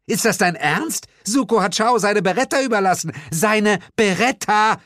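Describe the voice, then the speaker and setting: A man doing a shrill, indignant woman. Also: moderate pace, fairly bright tone, no room sound, clean studio sound. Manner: shrill, high-pitched outrage. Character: indignant, excitable woman secretary.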